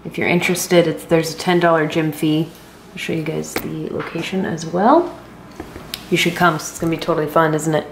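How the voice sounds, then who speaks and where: A woman in her thirties with an American accent talks in a casual fitness vlog style. Her voice sits a little low. She is in an echoey home kitchen, with light noise behind her. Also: flat delivery, a little fast, vocal fry. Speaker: fitness vlogger. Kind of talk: casual vlog chat and explaining. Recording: roomy kitchen with some background noise.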